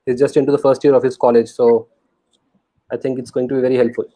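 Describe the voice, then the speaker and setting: A man's voice: medium pitch, little pitch movement, quick pace, mellow. A man speaking as a guest on an online live session in a casual, chatty way. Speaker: guest panelist, digital marketer. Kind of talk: casual chat.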